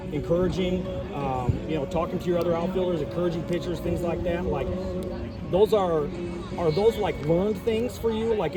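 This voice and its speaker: A reporter asking long, rambling questions at a postgame press conference. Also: male voice, on the high side.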